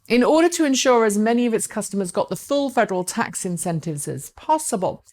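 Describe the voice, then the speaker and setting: A woman's voice: mid-range voice, darkish tone. A woman presenting electric car news as scripted narration, speaking articulately and directly to viewers.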